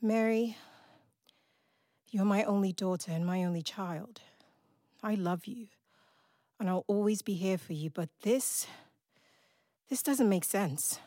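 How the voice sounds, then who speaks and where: A woman's voice: mid-range voice, slow pace, long pauses, warm tone, dry recording, clean studio sound, lightly acted. A woman acting as a stern, dramatic, devout middle-aged mother in an audio drama, with emphatic delivery. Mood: tender, reassuring. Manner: exclaiming, scolding, emphatic.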